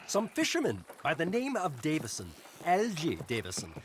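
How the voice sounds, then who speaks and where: An actor playing a prim, evasive moneylender and bookkeeper in a video game, with halting but persuasive speech. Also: male voice, a little high, huge pitch swings, slight background noise. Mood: coolly prodding. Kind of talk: video game cutscene acting.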